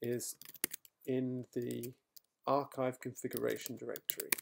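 A middle-aged man recorded at home, talking through a software tutorial as he types, with fillers and quick self-corrections. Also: mid-range voice, flat delivery, vocal fry, noisy background. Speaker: software trainer. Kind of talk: screencast coding tutorial. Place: quiet home room.

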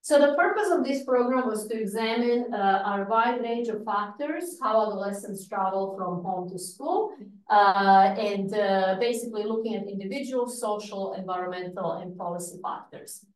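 A middle-aged woman from Croatia presents a research seminar in an echoey room. She is heard from some way off the mic, speaking in a lively manner and often pausing on fillers. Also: mid-range voice, distant mic. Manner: lively, with light laughs and frequent fillers. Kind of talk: academic seminar talk.

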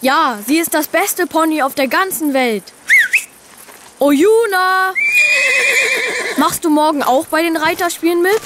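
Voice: very high voice, a little slow, long pauses. A performer playing a keen, pony-loving young boy in a children's audio drama. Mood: eager. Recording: horses and outdoor noise behind.

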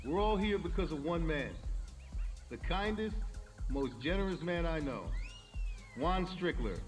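A man's voice: a little high, expressive, measured pace, faint music behind. An actor playing a fawning, sentimental young man giving a gushing toast in a video game. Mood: gushing, solemn. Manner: speechifying, gushing. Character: fawning, sentimental young male party host. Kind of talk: public toast.

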